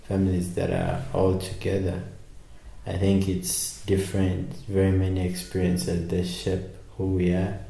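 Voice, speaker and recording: Low slow guy, kinda gravelly, lots of um and uh, echoey room. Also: mellow. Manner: hesitant, many fillers. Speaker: interviewee. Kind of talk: reflective casual talk. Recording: echoey room with faint ambience.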